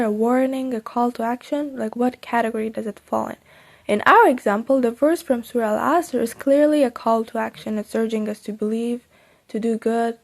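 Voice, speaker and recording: A young woman whose first language is Persian, giving casual, upbeat tips on studying the Quran. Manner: upbeat and chatty, with sudden bright lifts in pitch. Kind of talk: casual instructional vlog.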